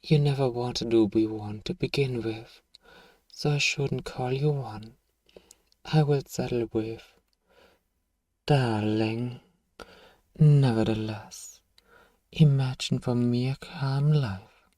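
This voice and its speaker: A man playing a powerful, manipulative vampire in an ASMR roleplay, theatrical and commanding, with a softly menacing, condescending manner. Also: medium pitch, slow pace, pauses often, dry recording, far from the mic. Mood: hypnotic soothing. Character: powerful suave manipulative male vampire, commanding yet coaxing. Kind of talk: ASMR roleplay, scripted hypnotic monologue.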